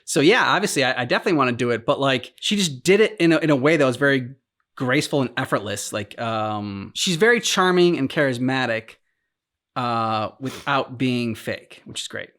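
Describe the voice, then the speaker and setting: A man co-hosting a reaction channel, casually working through his points in a halting way and acting out imagined dialogue. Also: mid-range voice, animated, a bit bright.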